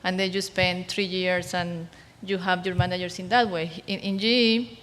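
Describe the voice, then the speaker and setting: A woman from Colombia answering questions on a conference panel, halting with many restarts. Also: fairly low pitch, steady tone, fairly bright tone. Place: conference hall. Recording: roomy hall with faint noise.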